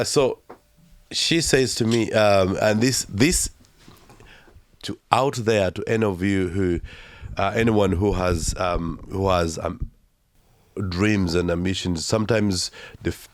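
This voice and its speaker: A young man with a Ugandan accent, telling his story as an interview guest in a halting, reflective way full of fillers. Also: low voice.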